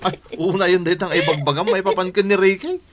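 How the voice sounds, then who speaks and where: A man's voice: high voice, steady tone, fast talker, heavy background noise, close to an everyday voice. A man acting an amused, teasing older brother playing matchmaker in a radio drama.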